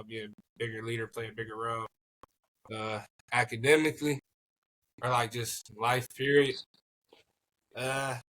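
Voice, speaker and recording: A young college football player from Cleveland talking in a relaxed, reflective way, often adding "you know what I'm saying.". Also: male voice, medium pitch, low-quality recording. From Cleveland, Ohio. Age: young adult. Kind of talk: casual podcast chat.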